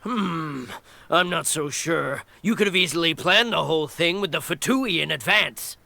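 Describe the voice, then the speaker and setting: A woman's voice: deep voice, expressive, normal speed, creaky, pushed and bright, dry recording, full character voice. An actress voicing a stern, suspicious female military general in a video game, cold and clipped. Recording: game music underneath.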